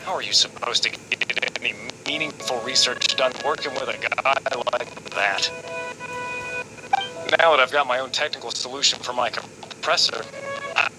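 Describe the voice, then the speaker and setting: A man voices a guarded video game researcher with a middling-to-low voice. He sounds weary and resentful, laying out grievances matter-of-factly over a bed of music. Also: normal speed, natural voice, poor audio. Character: guarded, embittered adult male researcher, terse and resentful. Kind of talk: scripted video game voice acting.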